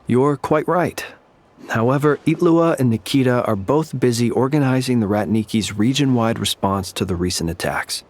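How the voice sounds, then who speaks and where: Earnest young soldier guy voiced by a man, mid-pitched measured voice, music behind. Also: lightly acted. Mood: polite. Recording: game audio with music behind.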